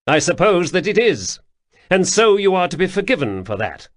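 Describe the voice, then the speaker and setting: A man's voice: high-pitched, sing-song, moderate pace, dry recording, clean studio sound, voice pushed up. A man voicing an imperious young prince who speaks in a commanding, clipped way.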